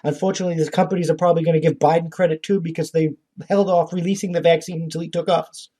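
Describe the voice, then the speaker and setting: A man speaks as a podcast host in a somewhat higher-than-average voice with a relaxed, dark-edged tone. His commentary is informal and political, blunt and full of profanity. He sounds exasperated and indignant as he makes his case. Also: rapid-fire.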